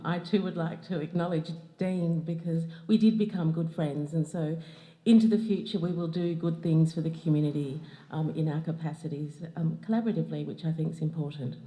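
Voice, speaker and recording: An Australian woman giving a formal acknowledgement of country, speaking in an earnest, measured way. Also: sits low, steady tone, mellow. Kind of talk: formal ceremonial address. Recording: echoey hall.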